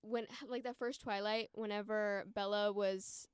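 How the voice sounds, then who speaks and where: Podcast guest, female, about 20, American. Crisp, dry close sound, chatty and informal delivery. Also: medium pitch, fairly even intonation, a little slow, no echo. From United States. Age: around 20. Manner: informal, frequent "like" and "you know". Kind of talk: casual conversational chat and storytelling.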